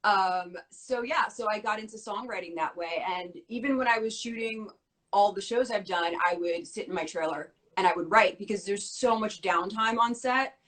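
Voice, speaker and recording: A young adult woman talking in a casual interview, chatty and quick with lots of filler words, her voice worn from losing it. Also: mid-range voice, a bit bright, rough recording. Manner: fast, bubbly talk with many "like" fillers. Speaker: interview guest.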